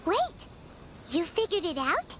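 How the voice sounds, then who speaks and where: Squeaky, very high-pitched little fairy sidekick. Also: female voice, expressive, a little slow, slight background noise, exaggerated voice. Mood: eager, curious. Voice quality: squeaky, childlike. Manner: exclamatory, blurting questions. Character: small excitable childlike fairy companion, blunt, curious. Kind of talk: scripted video game voice acting. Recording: loud music under the voice.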